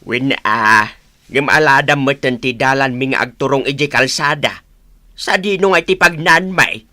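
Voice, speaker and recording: An actor with an Ilocano accent playing an older neighbor who complains in a radio drama. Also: male voice, medium pitch, normal speed, bright, forceful tone, no room sound, studio quality. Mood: complaining.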